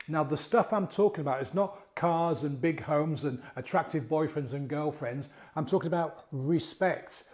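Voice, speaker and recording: Man, croaky from a cold, warm mellow tone, chatty coaching talk, rhetorical questions. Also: a little high. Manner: conversational, asks rhetorical questions, repeats and restarts words. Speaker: mindset coach and hypnotist. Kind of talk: casual coaching talk to camera.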